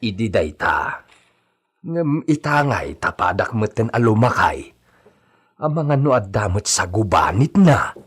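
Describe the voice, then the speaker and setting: A man's voice: lowish voice, sing-song, unhurried, fairly bright tone, husky, full character voice. A man playing an anxious, grumbling household servant in an Ilocano radio drama, with a questioning, fretful way of talking.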